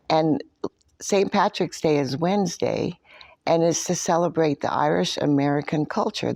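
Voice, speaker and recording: A woman's voice: low voice, darkish tone, no room sound, clean studio sound. A woman children's librarian talking at storytime, explaining things simply for young children. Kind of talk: children's storytime craft talk.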